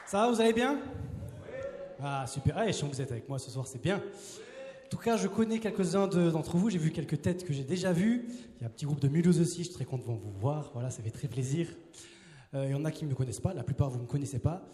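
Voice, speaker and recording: A man's voice: on the high side, animated, quick pace, mellow. A man in his twenties, a youth preacher, giving a casual, joking sermon on a handheld mic and asking the crowd questions. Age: 20s. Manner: playful, chatty, asks the crowd questions. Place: large hall with a live audience. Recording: roomy hall reverb.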